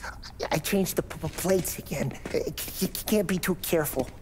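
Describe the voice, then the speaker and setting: A man's voice: high voice, moderate pace, background noise, close to an everyday voice. An actor playing a nervous young mechanic who stutters on his words in a video game cutscene.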